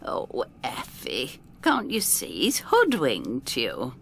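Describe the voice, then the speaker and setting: An actress playing a plucky, down-to-earth older woman who narrates her adventure in an expressive, wry first-person style. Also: a bass voice, slow, gravelly, slight background noise, full character voice. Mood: concerned, exasperated. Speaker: narrator and lead actor.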